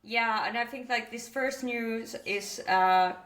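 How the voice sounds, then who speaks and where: A woman's voice: fairly high pitch, slow, fairly bright tone. Finnish woman co-hosting a chatty podcast. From Finland. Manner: chatty, joking, stumbles over words. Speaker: podcast co-host. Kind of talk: casual podcast chat.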